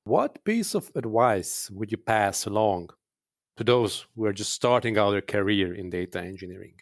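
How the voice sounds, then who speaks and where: A man's voice: lowish voice, animated, normal speed. A man interviewing a guest, asking brief, plain questions.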